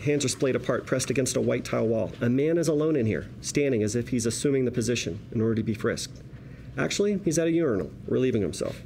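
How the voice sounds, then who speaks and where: A man reading stage directions at a table read as narrator, in a wry, deliberate storytelling manner. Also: mid-range voice, animated, creaky, dark tone, slight background noise.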